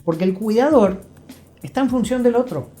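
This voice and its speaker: Older Argentine man with a mellow voice. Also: very high voice, animated, normal speed. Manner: emphatic, didactic, repeats phrases. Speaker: guest therapist. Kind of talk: reflective interview talk. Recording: roomy echo, faint music.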